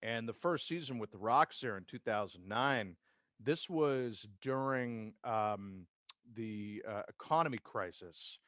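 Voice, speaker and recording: A man's voice: lowish voice, a little slow, a bit bright, dry recording, clean studio sound. A man with an American accent, telling stories about his working life in a casual interview. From Massachusetts, United States. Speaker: podcast guest, sports public address announcer and radio host. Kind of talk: casual conversational interview, career storytelling.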